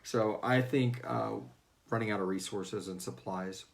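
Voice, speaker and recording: A man's voice: lowish voice, fairly even intonation, unhurried, gravelly, a little hiss or hum. An American man chatting casually and jokingly as host of a livestreamed fan Q&A. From Houston, United States. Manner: joking, informal, breaks into playful voices. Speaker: host of a fiction series fan Q&A, its writer.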